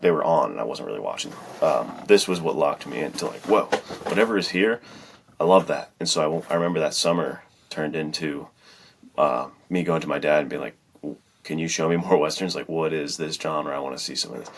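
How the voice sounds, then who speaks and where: A man's voice: extremely low pitch, a little fast, creaky, slight background noise. A man co-hosting a podcast as an author, chatting in an informal, enthusiastic way. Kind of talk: casual chat.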